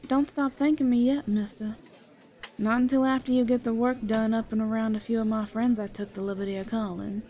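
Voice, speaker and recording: A woman's voice: a little high, fairly even intonation, a little fast, warm tone, lightly acted. Woman acting a brash, folksy small-town matron. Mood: brisk, bossy. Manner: folksy, blunt, teasing. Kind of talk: audio theater acting.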